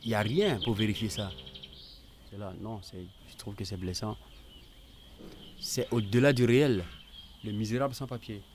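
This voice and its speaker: Middle aged guy telling his own story, lowish voice, candid, birds and background noise. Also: normal speed, pauses often, darkish tone. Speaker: interviewee giving testimony. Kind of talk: conversational personal testimony. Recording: clear outdoor noise with birdsong. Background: birdsong and ambience.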